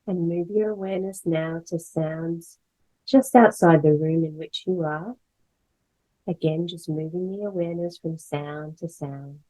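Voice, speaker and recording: A woman guiding a meditation as a yoga instructor, speaking in gentle, measured phrases with pauses. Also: on the low side, fairly even intonation, low-quality recording. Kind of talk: guided meditation, slow soothing instruction.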